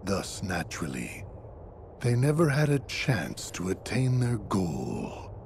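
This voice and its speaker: A man's voice: lowish voice, sing-song, background noise, heavily acted. A male actor playing a grave, composed villain leader in a video game, formal and coldly philosophical in manner. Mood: cold, disdainful. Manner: formal, measured, solemn.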